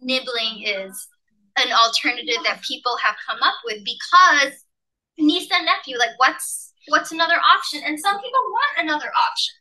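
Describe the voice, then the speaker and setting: A woman from Malaysia presents a workshop over a video call, her voice very bright and a little high. She explains with animated emphasis, like a professor walking colleagues through a slide. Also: moderate pace, low-quality recording. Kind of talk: leading an online teaching workshop.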